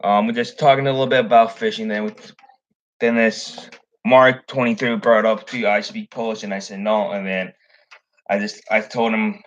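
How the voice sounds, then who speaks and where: A man's voice: fairly low pitch, little pitch movement, normal speed. Rambling young man on lo-fi stream. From Chicago area. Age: young adult. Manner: rambling, full of ums and restarts. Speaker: fishing channel host. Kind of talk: casual livestream chat.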